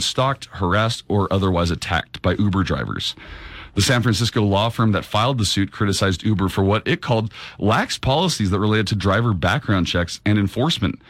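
A man hosting a studio show, reading news items with a lively, animated pitch and then chatting about them. His voice is dry and close to the mic, with no room sound around it. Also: no echo. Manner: reads news stories then banters. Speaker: radio show host. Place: radio studio.

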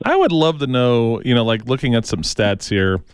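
A man's voice: medium pitch, lively intonation, moderate pace, clean tone, no room sound, studio quality. A man hosting a talk radio show, speaking casually and bluntly, with emphatic opinions.

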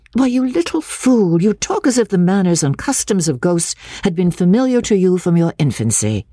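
Brisk young gentlewoman performed by a narrator, imperious and scornful, raised pitch, swooping delivery, storybook dialogue. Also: slightly rough, no echo, studio quality. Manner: emphatic, scornful questioning. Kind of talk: audiobook character dialogue.

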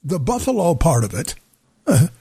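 An older man hosting a radio show, chatting in a dry, wry, meandering way. His voice is slightly husky and his pitch swings widely. The studio sound is close and dry. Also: big pitch swings, fairly quick, dark tone, no echo. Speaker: radio host. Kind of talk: casual radio banter. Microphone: studio mic.

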